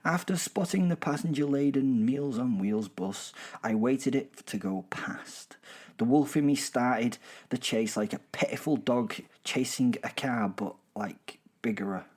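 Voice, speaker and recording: A man's voice: mid-range voice, measured pace, darkish tone, breathy edge. A man with a British accent voicing a gleeful, boastful werewolf killer, telling his story in a chatty, rambling way with darkly humorous asides. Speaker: narrator and host of a horror audio serial. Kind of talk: chatty first-person dramatic storytelling.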